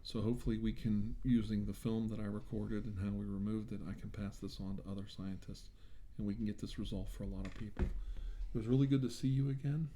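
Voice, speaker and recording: Low, mellow man in soft-spoken ASMR role-play. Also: flat delivery, a little hiss or hum. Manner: reassuring, hesitant, halting delivery. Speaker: ASMR role-player acting as a government doctor.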